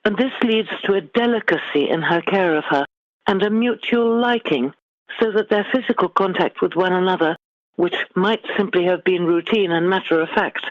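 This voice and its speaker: A middle-aged British woman, an author giving measured, formally phrased answers in a literary interview. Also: fairly low pitch, dry recording, muffled recording. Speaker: guest author.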